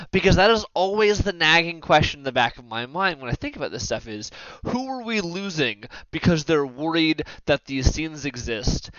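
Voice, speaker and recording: A man's voice: a little high, sharp tone, dry recording, highs cut off. A man hosting a casual panel discussion in a joking, emphatic way, with some swearing. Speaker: podcast host.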